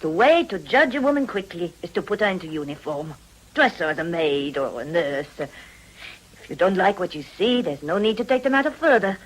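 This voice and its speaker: A worldly, discreet madam with a fairly low woman's voice. She speaks in a leisurely, knowing and genteel way, as in a period radio play. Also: expressive, moderate pace, low-quality recording. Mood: knowing, wry. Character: discreet, worldly madam addressing clients as dear friends. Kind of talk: period radio drama acting.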